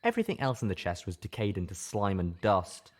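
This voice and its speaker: Low-pitched, expressive male story narrator. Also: a little fast. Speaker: audiobook reader, narrator. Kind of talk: scripted first-person story narration.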